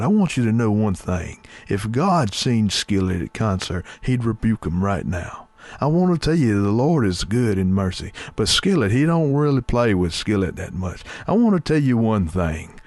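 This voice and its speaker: Guy putting on a bitter old southern baptist preacher, drawly and scolding, lowish voice, dry sound. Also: sing-song, normal speed, darkish tone, dry recording. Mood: preachy scolding.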